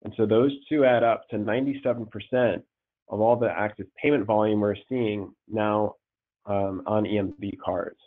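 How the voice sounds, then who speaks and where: Man, congested from a cold, lowish voice, halting webinar talk, tinny phone-line sound. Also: steady tone. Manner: halting, repeats words, many ums. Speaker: payments risk manager presenting a webinar. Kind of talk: slide presentation over a call.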